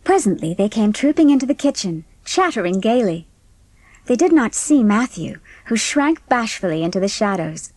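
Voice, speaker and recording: Lively woman narrator, dry close sound. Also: mid-range voice, normal speed, dry recording. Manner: expressive storytelling. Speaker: audiobook narrator. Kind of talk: scripted storybook narration.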